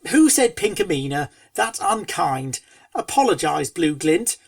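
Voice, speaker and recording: Woman acting pony storyteller, spooky rhyming storybook narration, dramatic and schoolteacherly, lively intonation, slightly distant dry recording. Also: mid-range voice, gravelly, no room sound, full character voice. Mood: scolding. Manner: dramatic, schoolteacherly, chiding asides. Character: pony storyteller spinning a spooky tale to rowdy foals. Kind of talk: theatrical storybook narration in verse.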